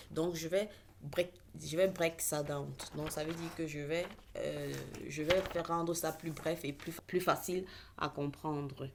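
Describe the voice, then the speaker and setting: A low-pitched woman who grew up speaking English gives an unscripted lesson on trading. She talks hesitantly, with frequent fillers and restarts as she searches for words. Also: a little mellow. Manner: hesitant, many fillers and self-corrections. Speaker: trading teacher. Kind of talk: casual online lesson.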